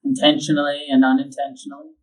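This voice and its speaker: A man leading a guided meditation, giving slow, calm instructions with long pauses. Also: on the high side, distant mic, low-quality recording. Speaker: meditation teacher.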